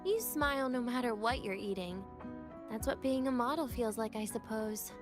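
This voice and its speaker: Young female student, woman actor, game dialogue. High pitch, cool and composed, dry humor, light teasing. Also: moderate pace, over music, lightly acted. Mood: dry, reflective. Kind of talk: video game voice acting.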